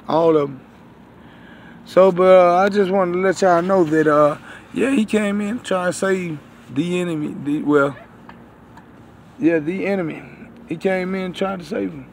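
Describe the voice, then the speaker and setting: A man in his thirties speaks to his viewers like a self-styled prophet. His voice sits a little high and has a strong gravelly creak. He rambles haltingly and circles back over the same phrases, on an outdoor phone recording with faint vehicle noise. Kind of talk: rambling religious vlog to viewers. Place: outdoors beside a building. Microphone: phone at arm's length.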